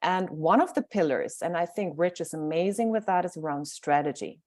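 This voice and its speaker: A German woman with a clear German accent gives a guest talk on mindset. Her voice sits somewhat low. She speaks informally and thinks aloud, often reaching for the next word. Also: lively intonation, normal speed, a little mellow. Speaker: mindset coach.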